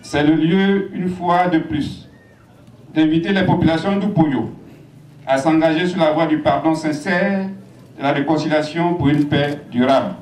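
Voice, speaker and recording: A man reading out a formal prepared speech in a measured, even delivery. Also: a little high. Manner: measured, read from a text. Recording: echoey and distant with faint noise.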